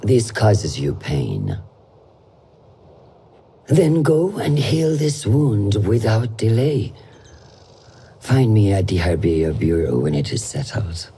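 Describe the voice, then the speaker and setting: An actor playing a stern assassin mentor who speaks in a measured, solemn, instructive way. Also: male voice, fairly low pitch, very animated, dark tone, slight background noise, heavily acted. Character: stern older male assassin mentor, calm and grave. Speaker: mentor of the hidden ones. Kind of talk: game cutscene acting.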